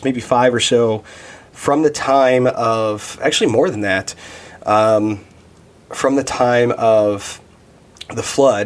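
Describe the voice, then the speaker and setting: A man in his thirties giving a casual devotional Bible teaching, thinking aloud with many restarts and fillers. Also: mid-range voice. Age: 30s.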